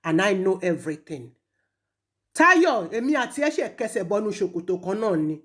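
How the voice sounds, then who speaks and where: A woman with a Nigerian Yoruba accent, speaking accusingly and emphatically in an impassioned public rebuke, with pointed pauses. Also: medium pitch, big pitch swings, fairly bright tone. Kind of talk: impassioned public rebuke, switching between languages.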